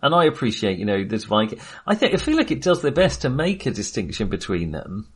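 A man's voice: fairly low pitch, huge pitch swings, a little fast, slightly rough. A man co-hosting a film podcast in casual discussion, talking in a hesitant, rambling way and often correcting himself.

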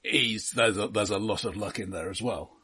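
A man co-hosting a film podcast, discussing casually in a measured, analytical way with hesitant phrasing. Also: fairly low pitch, bright, forceful tone, breathy edge.

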